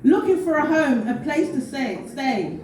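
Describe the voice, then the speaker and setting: Lady doing a heartfelt poem, highish voice, super echoey room. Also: very animated, unhurried, crowd noise. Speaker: poet. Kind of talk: spoken-word poetry reading, personal storytelling. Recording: very echoey room, distant mic, faint noise.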